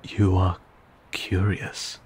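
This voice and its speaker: A man playing an ancient, courteous, guarded vampire in an intimate audio roleplay, speaking in formal, old-fashioned, measured phrases with some hesitation. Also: completely flat delivery, a little hiss or hum. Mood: cautioning, stern. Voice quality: low, gravelly, breathy.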